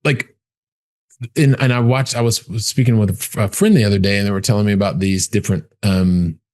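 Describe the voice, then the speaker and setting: A man's voice: on the low side, brisk, a little mellow. A man hosting a podcast, asking questions thoughtfully while searching for his words. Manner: thoughtful, searching for words, many affirming murmurs. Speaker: podcast host. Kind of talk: casual interview talk.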